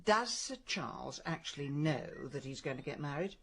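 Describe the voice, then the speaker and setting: A bossy, middle-aged English amateur sleuth in a radio drama. She is dry, sardonic and impatient, with a very lively swing in her pitch. Clear noise sits behind her. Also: moderate pace. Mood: dry, sceptical. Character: brusque, bossy, sardonic older woman amateur detective. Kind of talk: British radio drama acting.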